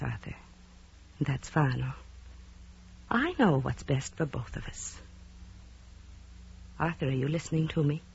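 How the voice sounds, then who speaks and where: A woman's voice: low-pitched, vocal fry, slightly rough, exaggerated voice. An actress playing a possessive, mothering wife in a mid-century radio drama, insistent, patronising and emphatic. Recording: dull old radio recording.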